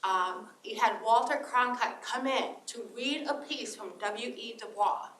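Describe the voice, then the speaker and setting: A woman from Trinidad asks a slow, hesitant question from the floor, with many pauses. She sounds far from the mic in a reverberant room, with a faint hiss underneath. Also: a little high, a bit bright. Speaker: audience member asking a question. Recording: distant roomy audience pickup.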